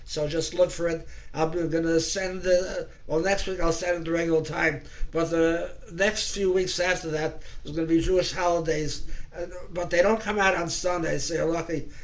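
A man in his eighties hosting a casual video call, chatty and quick to laugh. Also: on the high side, steady tone. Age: 80s. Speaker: host of online music club. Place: home room.

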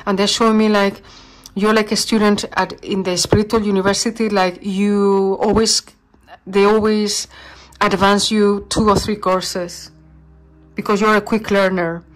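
A woman reads tarot cards at a table indoors, speaking off-camera. She talks warmly and reassuringly, in a mid-range voice, and pauses to search for English words. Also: medium pitch, flat delivery, low-quality recording. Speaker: tarot reader. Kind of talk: channeled tarot reading.

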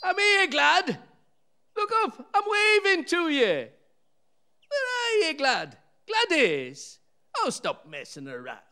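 Man acting an increasingly anxious husband, wildly swinging pitch. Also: wildly swooping pitch, takes it slowly, sharp tone, heavily acted. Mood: calling, agitated. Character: husband climbing a tower, impatient, increasingly frightened. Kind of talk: radio play acting.

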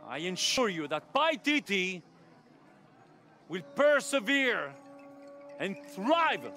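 Video game cult leader, pompous oratory, forceful ringing tone, animated swoops. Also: male voice, high voice, slow pace, faint music behind, exaggerated voice. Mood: grave, assuring. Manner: proclaiming, solemn, pompous. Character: grandiose, messianic cult leader posing as a god.

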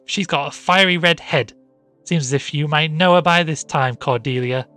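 A man voicing a peremptory, indignant middle-aged housewife in a storybook reading, sharp, commanding and scolding. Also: mid-range voice, quick pace, faint music behind, close to an everyday voice.

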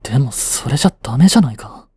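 A man's voice: medium pitch, animated, fast talker, darkish tone, breathy edge, dry recording. A man acting an aloof, blunt but devoted young boyfriend in a romantic audio drama, curt and intimate. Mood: self-reproachful.

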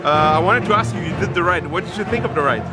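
Male interviewer, slightly high, respectful; music under. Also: fast talker, rough recording. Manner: friendly, respectful questioning. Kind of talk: interview questions. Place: outdoors at a theme park.

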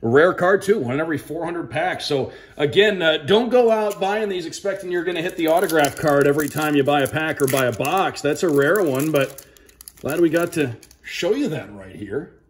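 A man's voice: a little high, moderate pace. A man giving casual, upbeat commentary on an unboxing, reading out names to viewers like a broadcaster. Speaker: radio sports play-by-play broadcaster.

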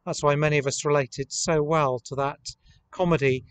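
A man, preaching a measured, earnest, reflective sermon to camera as a priest. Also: a little high, fairly even intonation, smooth. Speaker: priest leading online worship. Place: outdoors in a rural churchyard. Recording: outdoor camera recording with ambient noise.

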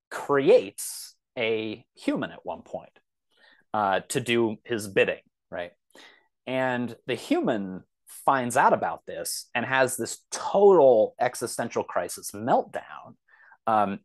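A man in his thirties talking casually as a podcast guest, thinking aloud in a halting, thoughtful way. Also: on the low side, dry recording.

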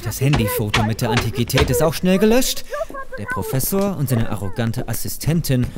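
An actor playing a shifty, scheming lawyer in a German audio drama, blustering and prone to stammering. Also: male voice, medium pitch, very animated, brisk, over loud music, close to an everyday voice. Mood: menacing. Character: shifty, scheming lawyer caught red-handed, flustered and arrogant.

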